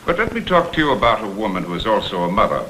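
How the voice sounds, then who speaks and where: An actor playing a smooth, insinuating blackmailer who poses as a humble go-between, with florid, theatrical phrasing, in a vintage crime drama. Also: male voice, fairly low pitch, very animated, rapid-fire, a bit bright, poor audio. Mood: oily, pleading. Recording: vintage TV soundtrack, faint noise.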